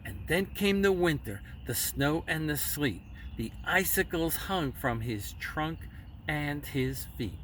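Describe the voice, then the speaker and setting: A man telling a children's story expressively, with dramatic, measured phrasing. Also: on the high side, lively intonation, fairly bright tone. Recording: slightly distant mic, faint background noise.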